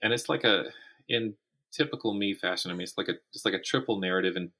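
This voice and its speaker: A man's voice: on the low side, fast, sharp tone. A man speaking as a guest author in a casual interview, often restarting and repeating words as he thinks aloud.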